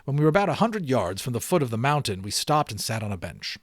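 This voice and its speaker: A man reading a story aloud as an audiobook, expressive and given to dramatic emphasis. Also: mid-range voice, quick pace, no echo, clean studio sound. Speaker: audiobook reader. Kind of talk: animated read-aloud storytelling.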